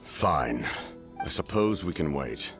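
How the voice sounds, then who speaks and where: An imposing commander with an extremely deep voice. He is measured, formal and aloof, in game dialogue with faint music underneath. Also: animated, full character voice. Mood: grudging, stern. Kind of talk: video game voice acting.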